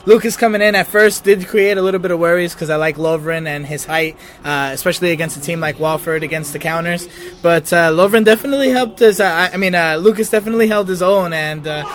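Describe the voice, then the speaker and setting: A man from Jersey City talks eagerly about his team, leaning on fillers as he thinks aloud. His voice sits a touch high. He is interviewed in a bar. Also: fast talker, fairly bright tone, slight background noise. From Jersey City, USA. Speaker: football fan interviewee. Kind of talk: post-match fan talk. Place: crowded bar.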